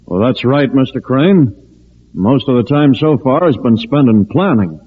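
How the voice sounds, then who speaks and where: A man's voice: medium pitch, animated, unhurried, breathy edge. A man acting as a polite, businesslike civil defense director in a 1950s radio drama. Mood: courteous, businesslike. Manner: courteous, businesslike chairing of a meeting. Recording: tinny old radio recording.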